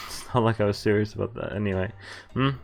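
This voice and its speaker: A man's voice: fairly low pitch, fairly even intonation, fairly quick, gravelly. A man streaming a visual novel, reading the text aloud and adding casual commentary. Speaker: game streamer. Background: faint game music.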